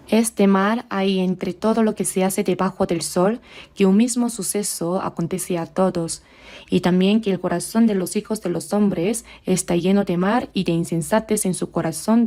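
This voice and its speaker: A woman delivers a sermon in a warm, mellow voice. She speaks at a measured pace and draws out the scripture passages slowly. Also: mid-range voice. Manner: measured, slows down for scripture readings. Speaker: preacher. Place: church.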